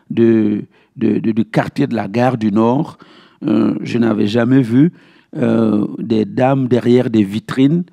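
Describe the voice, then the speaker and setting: Gravelly male pastor, mellow tone. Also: mid-range voice. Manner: didactic, repeats key phrases for emphasis. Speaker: pastor teaching a Bible study. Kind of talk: Bible teaching with verse citations.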